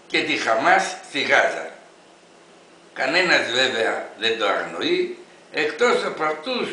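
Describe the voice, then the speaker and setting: Elderly man, very sharp, forceful voice. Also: medium pitch, reverberant, poor audio. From Greece. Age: 80s. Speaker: grandfather reading his protest letter. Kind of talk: read-aloud open letter, oratory.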